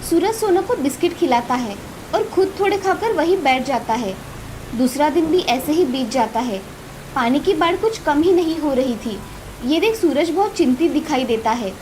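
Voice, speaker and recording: A woman's voice: high voice, animated, moderate pace. A woman narrating a scripted moral story as a storyteller. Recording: clearly noisy, with ambience behind.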